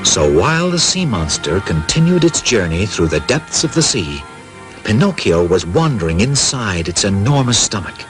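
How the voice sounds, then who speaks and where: A man's voice: lowish voice, sing-song, moderate pace, over music. A man narrating a children's cartoon as a scripted storybook tale. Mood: storytelling.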